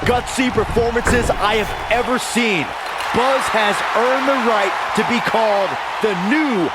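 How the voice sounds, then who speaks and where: An actor playing an upbeat, admiring wrestling co-commentator giving emphatic colour commentary. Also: male voice, extremely high pitch, unhurried, a loud crowd. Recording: loud arena noise behind.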